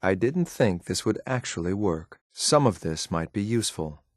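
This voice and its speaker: A man's voice: deep voice, expressive, normal speed, no echo, studio quality. A man playing a cool, efficient synth enforcer in a video game, clipped and matter-of-fact, watchful and faintly condescending. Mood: detached, observant. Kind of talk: video game companion voice lines.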